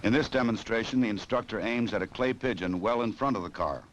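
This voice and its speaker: An American man narrating a 1960s instructional film in a formal, measured, authoritative way, on an old film soundtrack. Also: fairly low pitch, muffled recording, noisy background.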